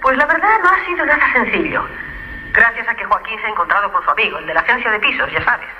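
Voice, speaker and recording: A brisk, teasing woman psychiatrist in a radio play, very bright and high. She swings animatedly through a filtered phone line. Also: animated. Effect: telephone filter. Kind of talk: radio drama acting. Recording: thin telephone line, long reverb.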